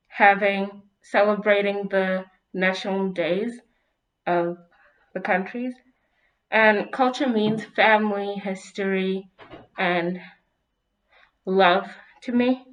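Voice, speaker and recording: A woman's voice: medium pitch, fairly bright tone, rough recording. A teenage girl from Zimbabwe, raised in South Africa, answering interview questions at an easy pace with some fillers. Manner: unhurried, hesitant with fillers. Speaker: student interviewee.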